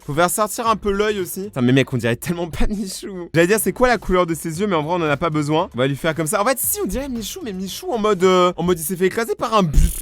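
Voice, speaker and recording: A young man giving casual, slangy YouTube commentary to his viewers in a jokey, exclamatory, self-deprecating way. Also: high-pitched. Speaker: YouTuber hosting a drawing video.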